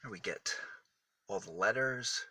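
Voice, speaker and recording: A man reading a picture book aloud for small children, with deliberate, emphatic phrasing that sets single words apart. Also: on the low side, sharp tone. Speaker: children's storybook reader.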